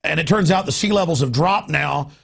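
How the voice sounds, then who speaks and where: A male talk radio host with a high, raspy voice that grinds into heavy gravel. He delivers opinionated political talk emphatically, ranting and piling one example on another. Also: brisk, fairly bright tone.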